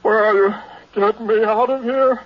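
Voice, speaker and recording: An actor playing a frightened man trapped alive in a coffin, halting between muttering and desperate cries, in 1960s radio horror style. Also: high-pitched, steady tone, a crowd in the background. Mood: frantic, angry. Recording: dull, hissy vintage radio recording.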